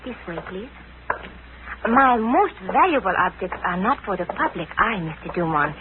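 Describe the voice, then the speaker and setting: Through heavy hiss and a phone-thin old radio sound, a woman acts a refined Chinese lady dealer of mid pitch. She is coy, courteous and secretive, choosing formal words with care. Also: very animated, lightly acted. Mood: gracious. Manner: formal, gracious, deliberate phrasing. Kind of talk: 1940s radio acting. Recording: muffled, noisy 1940s radio recording.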